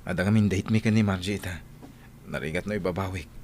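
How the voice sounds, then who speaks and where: Actor as low-voiced young Ilocano boyfriend. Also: male voice, fast talker, darkish tone, a little hiss or hum, lightly acted. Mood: casual, defensive. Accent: Ilocano Filipino. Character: young man courting, smooth and devoted boyfriend. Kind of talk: Ilocano radio drama acting.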